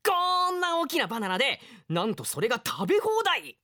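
Man playing a high, boisterous boyish adventurer. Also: huge pitch swings, normal speed, bright, forceful tone, dry recording, studio quality, heavily acted. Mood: excited, boastful. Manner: boyish, breezy and eager. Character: carefree, boisterous young male adventurer, kind to his companion. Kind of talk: radio drama acting.

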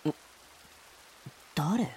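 A man's voice: very slow, dark tone, a little hiss or hum. A man playing a reserved young village boy in a radio drama, hesitant and grumbling. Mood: wary, hesitant.

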